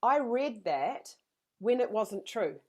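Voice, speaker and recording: A woman guest talking candidly in an interview, earnest and energetic, often repeating her phrases. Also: a little high, takes it slowly. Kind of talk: candid conversational storytelling.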